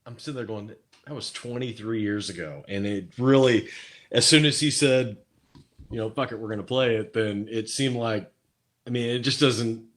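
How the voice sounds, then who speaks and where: A man's voice: medium pitch, normal speed. Rambling Midwestern man, guest. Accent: Midwestern American. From Missouri. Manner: rambling, hesitant, many fillers and restarts. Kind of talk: casual video chat interview.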